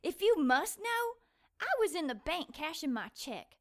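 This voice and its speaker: An actress playing an excitable, sassy young woman in an audio drama, sarcastic and quick to take offense. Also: high voice, full character voice. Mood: indignant. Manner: sarcastic, feisty, fast-reacting.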